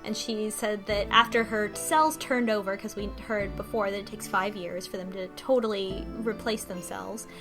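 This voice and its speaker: A woman co-hosting a podcast, giving a casual, rambling recap with animated retellings and music behind her. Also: mid-range voice, normal speed, few pauses. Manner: animated retelling with mock quotes. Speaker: podcast co-host recapping a game.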